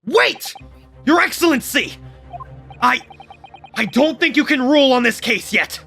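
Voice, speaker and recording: A woman's voice: sits high, lively intonation, measured pace, fairly bright tone, faint music behind, heavily acted. A woman voicing an earnest, dramatic young male defense lawyer in a fan dub of a courtroom game. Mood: urgent.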